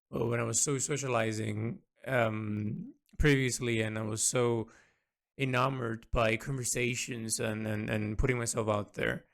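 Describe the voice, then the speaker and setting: A man speaking in a reflective, unscripted audio-log monologue, earnest and introspective, halting and repeating words as he thinks aloud. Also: mid-range voice, gravelly, a bit bright. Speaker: artist recording an audio log.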